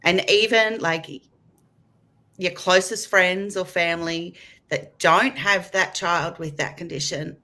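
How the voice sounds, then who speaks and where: Aussie woman on a panel, bright sharp voice, kinda flustered and chatty. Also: lowish voice, fairly even intonation, slow pace. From Australia. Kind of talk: casual panel discussion.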